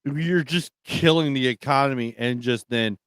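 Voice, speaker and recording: A man's voice: medium pitch, moderate pace, a bit bright, smooth, dry recording. A man hosts casual talk radio in a very dry, close-sounding space. His manner is blunt and opinionated, and he swears freely in loose, conversational speech. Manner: blunt, profane.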